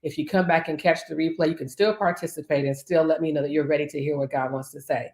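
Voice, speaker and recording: Deep-voiced woman preacher in an echoey room. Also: steady tone, darkish tone. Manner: chatty and upbeat, greets viewers by name, drawn-out emphatic phrases. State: voice deeper and rougher than usual this morning. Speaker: life coach and preacher hosting a daily livestream. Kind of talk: live-streamed devotional teaching.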